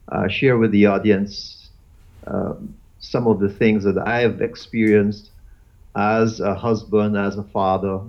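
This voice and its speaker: Slow gravelly man, lo-fi. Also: fairly low pitch. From Guyana. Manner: halting, with many fillers and repeated words. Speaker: guest. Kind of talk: casual interview chat. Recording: dull lo-fi audio.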